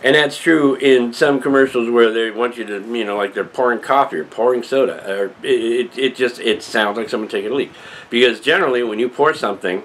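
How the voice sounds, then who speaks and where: An older man chatting casually to camera as a vlogger, rambling and wry while working on a prop. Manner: rambling, wry, lively pitch. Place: small cluttered room indoors, then patio workshop.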